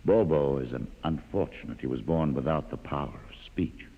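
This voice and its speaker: An actor playing a calm, educated mutant leader, courteous but menacing, with measured formal speech in a 1950s radio drama. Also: male voice, rumbling low, breathy edge, exaggerated voice. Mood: composed, proud. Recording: muffled vintage radio, long reverb.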